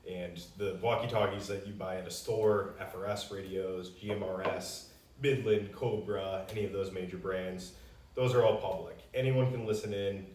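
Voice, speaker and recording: A man's voice: sits low, fairly even intonation, moderate pace, a little mellow, slight background noise. A man giving an unscripted, confident promotional explanation, answering customer questions as a company spokesman. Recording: roomy echo, distant mic.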